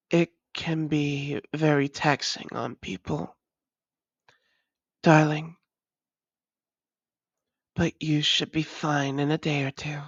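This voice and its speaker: Woman acting a low-voiced, unhurried, doting female vampire. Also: husky, no room sound, highs cut off, exaggerated voice. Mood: gentle, composed. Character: centuries-weary female vampire, doting, wry, long-lost lover. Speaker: audio roleplay voice actor. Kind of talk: intimate audio roleplay acting.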